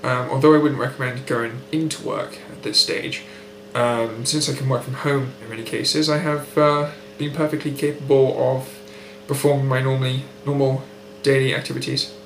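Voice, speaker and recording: Nasal young man with blocked nose, gravelly. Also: medium pitch, little pitch movement, soft background music. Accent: British. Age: young adult. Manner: articulate, precise, unhurried. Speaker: patient keeping a recovery diary. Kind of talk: measured video diary to camera. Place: indoor room at home by a window. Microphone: built-in webcam mic, close framing. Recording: echoey room with faint hum.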